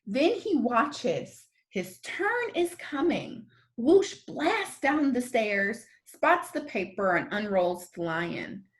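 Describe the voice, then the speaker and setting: Slow, animated woman reading a storybook. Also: fairly high pitch. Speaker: storyteller reading a picture book aloud to children. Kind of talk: expressive storybook read-aloud.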